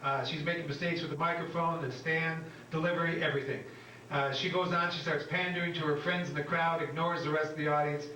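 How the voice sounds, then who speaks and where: A man's voice: on the high side, fairly even intonation, fairly quick, sharp tone. A man narrating stage directions from a script at a staged reading. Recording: echoey room, distant mic, faint noise.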